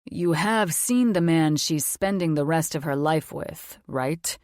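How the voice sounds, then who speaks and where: A woman's voice: deep voice, a little slow, darkish tone, no room sound, clean studio sound, voice pushed down. A woman doing a suave, confident grown man. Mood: smug, assured. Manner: smooth, teasing, self-assured. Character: suave confident mature man, fake fiancé.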